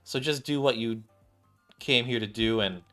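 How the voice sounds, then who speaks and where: A gruff, scornful video game character acted by a man, dropped lower than his normal pitch. The delivery is curt and annoyed, over background music. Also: measured pace, pushed and bright. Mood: irritated. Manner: curt, disdainful. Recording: music playing behind the voice.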